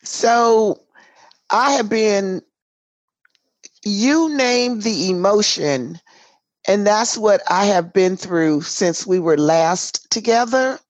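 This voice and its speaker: A hoarse woman co-hosting a casual podcast chat, speaking emphatically and tossing in folksy asides like "girl" and "honey". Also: mid-range voice, slow pace, no room sound. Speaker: podcast co-host. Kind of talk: casual chat between sisters. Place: home in a city in Washington State.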